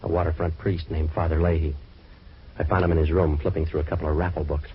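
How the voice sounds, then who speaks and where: Hard-boiled American private eye, very low voice, rapid clipped wisecracks, tough and world-weary, dull vintage radio sound. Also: male voice, little pitch movement, slight background noise. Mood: dry narration. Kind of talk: old-time radio detective drama acting and narration. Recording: dull vintage radio recording, music behind.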